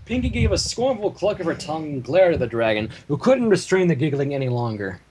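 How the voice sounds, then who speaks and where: A man reading fan fiction aloud as an animated story narrator, with casual asides. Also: fairly high pitch, brisk, breathy edge, low-quality recording.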